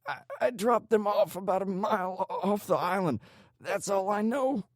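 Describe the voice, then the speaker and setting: A man's voice: very high voice, normal speed, dry recording, studio quality, voice pushed up. A man voicing a terrified old man in an audiobook, stammering and pleading in agony. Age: older. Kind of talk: audiobook character dialogue.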